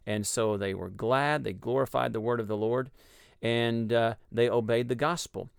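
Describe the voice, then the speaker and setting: A man with a Texas accent explaining a Bible passage in a measured, teaching way on a radio discussion. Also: mid-range voice, fairly even intonation, vocal fry, smooth, no echo, studio quality. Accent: Texan. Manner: measured, explanatory, with frequent ums and uhs.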